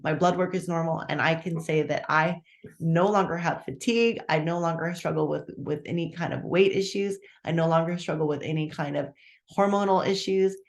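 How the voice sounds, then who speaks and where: A person in their fifties giving a casual, chatty live sales pitch for a meal plan on a webinar, walking through the prices step by step and checking in with "okay?", upbeat about their own results. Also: normal speed. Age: 50s.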